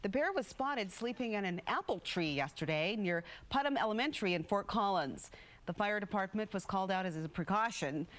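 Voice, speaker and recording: A woman's voice: mid-range voice, expressive, a little fast. An American woman reading scripted morning news as a television anchor.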